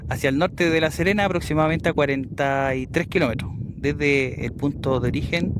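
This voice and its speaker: A man's voice: on the high side, moderate pace, background noise. A man with a Chilean accent talking courteously in a casual outdoor vlog while operating a ham radio. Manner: courteous, uses radio call signs and phonetic alphabet. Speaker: amateur radio operator and vlogger. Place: outdoors on a windy hilltop.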